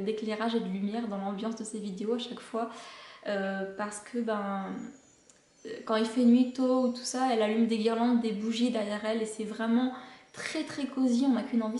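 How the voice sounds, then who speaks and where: A woman's voice: a little high, mellow, roomy sound. A woman hosting a knitting podcast, chatting casually about shows she recommends, with many hesitations. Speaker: knitting podcast host and pattern designer.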